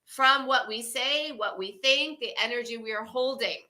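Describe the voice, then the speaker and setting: Bright-voiced enthusiastic woman in her fifties. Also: fairly high pitch, normal speed, slight background noise. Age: 50s. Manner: enthusiastic, effusive, laughs often. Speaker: co-host of a wellness livestream. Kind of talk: casual livestream chat.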